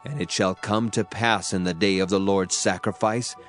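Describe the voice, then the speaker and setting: A man's voice: low voice, steady tone, normal speed, a bit bright. A man giving a solemn, dramatized audio Bible reading with declamatory emphasis. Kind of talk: dramatized scripture reading in archaic English. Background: background music.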